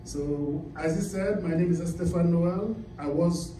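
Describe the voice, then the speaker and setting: A man's voice: a little high, a little slow, background noise. Man from Haiti giving a business seminar. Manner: emphatic, asks the audience questions. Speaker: seminar speaker. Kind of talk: motivational business presentation. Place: carpeted indoor meeting room. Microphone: far camera, no visible mic. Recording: echoey room, camera far off.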